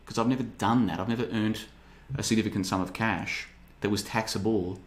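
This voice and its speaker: A man's voice: on the low side, fairly even intonation, fairly quick. Sarcastic man in his thirties. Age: 30s. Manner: rambling, sarcastic, slips into mocking voices. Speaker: podcast host. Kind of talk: casual podcast monologue reading live chat.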